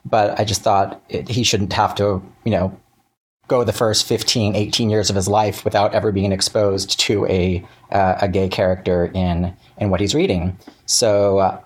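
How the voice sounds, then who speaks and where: Low-voiced man, halting and chatty. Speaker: interview guest, writer. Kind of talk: casual conversational interview.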